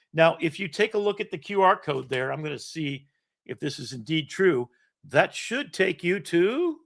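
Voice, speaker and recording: A man's voice: a little high, a bit bright. Rambling man in his sixties, host. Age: 60s. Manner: rambling, self-deprecating, swears now and then. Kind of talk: casual screen-share presentation. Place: home setup with an iPad.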